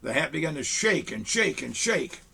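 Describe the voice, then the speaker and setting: A man reads a children's picture book aloud to kids from a small studio set, talking with them between pages. His voice is gravelly and husky, sitting a bit high for a man, with a lively, swooping delivery. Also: normal speed. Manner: warm, chatty grandfatherly asides to children. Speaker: storyteller in a Santa persona.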